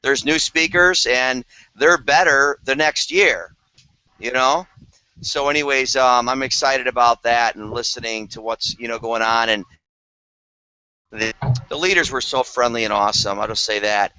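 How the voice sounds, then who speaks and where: A man's voice: mid-range voice, measured pace, bright, forceful tone, clean tone, no room sound. A man chatting enthusiastically on a group video call, rambling with fillers. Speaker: business team leader on a video call. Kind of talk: casual group video chat. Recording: dry, slightly dull call audio.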